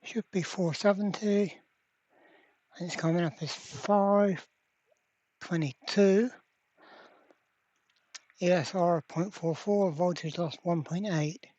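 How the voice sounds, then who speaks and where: Husky voice muttering thru a repair job, slow and casual, bit of a dull recording. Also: medium pitch, darkish tone, no echo. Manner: mutters to self, mild swearing. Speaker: electronics repairer presenting a workbench video. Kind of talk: casual hands-on repair narration. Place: indoor workbench.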